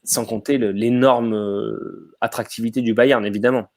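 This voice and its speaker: Chatty man thinking out loud. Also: mid-range voice, steady tone, normal speed. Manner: hesitant, thinks aloud with many fillers and restarts. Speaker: podcast panelist. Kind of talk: casual podcast discussion.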